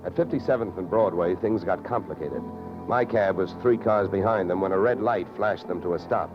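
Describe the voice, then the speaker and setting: An actor playing a wry, hard-boiled insurance investigator in old radio drama, dry and wisecracking. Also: male voice, low voice, moderate pace, over music, lightly acted. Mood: wry narrating. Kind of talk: 1940s-50s radio detective narration. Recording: muffled vintage radio recording.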